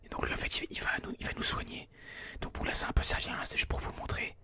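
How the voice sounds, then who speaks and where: A person whispering informal, explanatory ASMR gameplay commentary in a breathy, unvoiced voice, a little hesitant, close on a binaural mic. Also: quick pace, pushed and bright. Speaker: ASMR gaming video host. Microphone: close binaural microphone.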